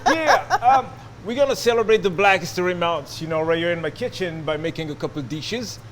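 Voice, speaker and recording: A French-accented man with a somewhat high-pitched voice talks as a chef in his kitchen. He explains cooking in a casual, demonstrative way, with faint noise around him. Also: lively intonation, fairly quick, a bit bright. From France. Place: restaurant-style kitchen. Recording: noisy kitchen ambience.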